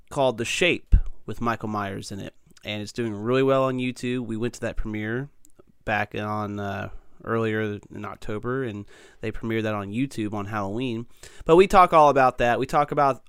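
A man hosting a casual podcast interview, chatty and friendly with a slightly raspy, tired voice. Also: mid-range voice, a bit bright, dry recording, clean studio sound. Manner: friendly, chatty, laughs often. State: slightly raspy, losing his voice. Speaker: podcast host.

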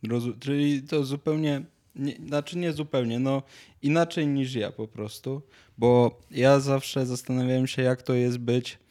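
A man's voice: medium pitch, fairly even intonation, measured pace, warm tone. A man telling anecdotes and chatting casually as a podcast co-host, in a hesitant, rambling, jokey way.

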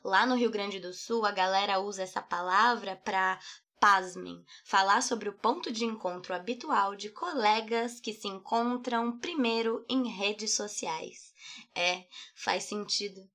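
A woman's voice: mid-range voice, a little slow, bright, forceful tone. A young Brazilian woman, an artist and poet, narrating her own thoughts in a reflective, playful, poetic way. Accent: Brazilian Portuguese. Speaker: podcast host, artist and poet. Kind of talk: scripted personal narration.

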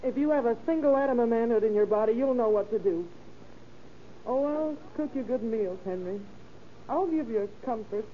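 A woman's voice: fairly high pitch, moderate pace, natural voice. Woman acting a weary, reproachful wife, muffled recording. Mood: reproachful, pleading. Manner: scolding, earnest, dramatic. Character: weary, reproachful, long-suffering wife and mother. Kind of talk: 1930s radio melodrama acting. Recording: dull, hissy old radio recording.

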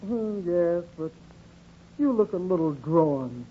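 A woman's voice: lowish voice, expressive, slow, slight background noise. An actress playing a devoted, attentive wife and mother in a 1940s radio drama. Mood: tender, proud. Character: devoted, attentive wife and mother welcoming her husband home. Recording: dull, muffled 1940s radio recording.

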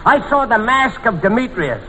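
A man playing a loud, dim, cheerful goof who exclaims and blusters in 1940s radio comedy. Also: very high-pitched, big pitch swings, moderate pace, heavily acted. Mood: enthusiastic. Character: loud, dim, cheerful working-class New York goof. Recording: noisy vintage radio, echoing audience hall.

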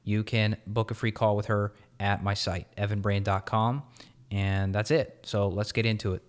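A man's voice: fairly low pitch, level tone, normal speed. A man hosting a health podcast, chatting casually and asking questions with easygoing fillers. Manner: casual, with fillers like "you know". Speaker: podcast host. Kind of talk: conversational podcast interview.

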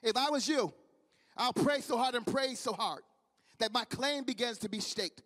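Midwestern male preacher, very high voice, fervent repeating cadence, long hall reverb. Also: normal speed, a bit bright. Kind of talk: sermon.

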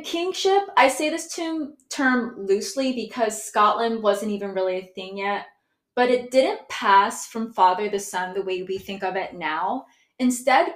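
A woman with an American accent hosting a history podcast, explaining casually, correcting herself and often laughing. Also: mid-range voice. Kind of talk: casual educational talk.

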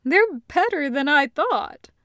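A woman's voice: sits high, expressive, slow, fairly bright tone, no room sound, studio quality, voice pushed up, heavily acted. A woman voicing a guarded living weapon posing as someone's brother in a story, with forced, sweary swagger. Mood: feigned enthusiasm.

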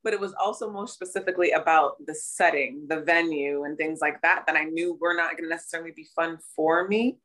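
A woman with a low but bright, forceful voice, a touch raspy today. She muses aloud in casual podcast talk, circling back and restarting her thoughts. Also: fairly even intonation, fairly quick, few pauses, low-quality recording. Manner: reflective, thinks aloud with restarts. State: raspy voice from cheering. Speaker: podcast co-host. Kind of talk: casual chat.

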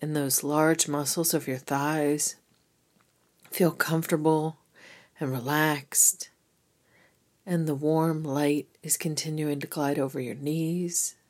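A woman gently leading a guided meditation with soothing, unhurried instructions. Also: deep voice, little pitch movement. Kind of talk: guided body scan meditation.

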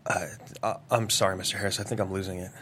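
A man plays a troubled, apologetic businessman in a drama table read. His voice sits fairly low and his delivery is halting and hesitant. There is noticeable room noise behind him. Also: level tone, fairly bright tone. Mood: apologetic, flustered. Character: troubled, apologetic male businessman haunted by visions.

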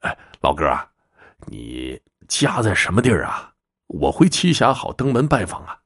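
A man's voice: deep voice, huge pitch swings, moderate pace, a bit bright, exaggerated voice. A man playing a polite, earnest younger traveler in a folk tale, deferential and eager to oblige.

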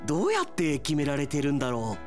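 A man acting a brash, aggrieved high school boy in a melodramatic, exclamatory radio drama style. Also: huge pitch swings, fast talker, darkish tone, soft background music. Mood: wondering.

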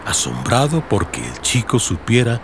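A man's voice: on the low side, very animated, moderate pace, gravelly, heavy background noise. A man with a Colombian accent narrating a dramatized audiobook in the style of a radio serial. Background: music under the voice.

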